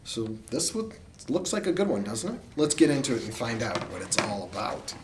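A middle-aged American man reading a children's story aloud in an animated storyteller's style. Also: medium pitch, a little fast, noisy background. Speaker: school communications director reading aloud. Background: music.

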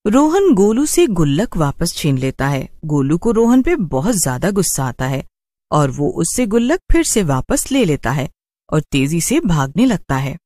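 Animated male storyteller, dry sound. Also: a little high, unhurried, no echo. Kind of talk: scripted narration of a children's horror story.

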